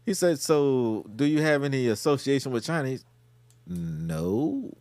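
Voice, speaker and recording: A man's voice: medium pitch, animated, unhurried, darkish tone. A young man co-hosting a podcast, blunt and emphatic in casual slangy chat. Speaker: podcast co-host.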